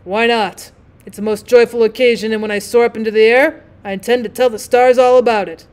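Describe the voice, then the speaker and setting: Little storybook balloon, voiced by a woman: cheerful, earnest, simple-hearted, high voice with keen projection. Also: steady tone, fairly quick, a little mellow, clear, resonant tone, lightly acted. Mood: joyful, earnest.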